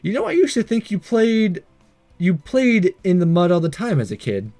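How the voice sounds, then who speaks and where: Male let's player, higher-pitched and lively, mellow tone, stumbles through read-aloud dialogue and narration over music. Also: normal speed. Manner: stumbles over lines and re-reads them. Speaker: let's play host. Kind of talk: reading visual novel aloud with reaction commentary. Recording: music behind the voice. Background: game music.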